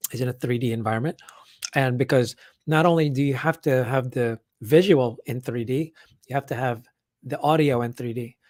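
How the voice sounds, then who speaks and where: A man with a hoarse, worn-out voice hosting a casual live Q&A, rambling with lots of fillers and restarts as he answers comments. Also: mid-range voice, no echo, studio quality. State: hoarse, losing his voice. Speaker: event organizer hosting a live update. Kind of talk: casual livestream Q&A.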